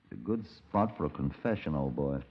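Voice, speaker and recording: An actor playing an exasperated, sardonic detective in a 1950s radio drama. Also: male voice, very deep voice, big pitch swings, brisk, breathy edge, exaggerated voice. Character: exasperated, dry Scotland Yard detective, male narrator. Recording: dull 1950s radio recording.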